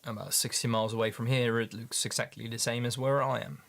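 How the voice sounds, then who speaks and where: A man's voice: medium pitch, steady tone, creaky. Dutch-accented male streamer, casual, stop-start delivery. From Netherlands. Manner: halting, repeats words, many ums. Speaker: livestream host, paint maker. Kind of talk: casual livestream chat with viewers.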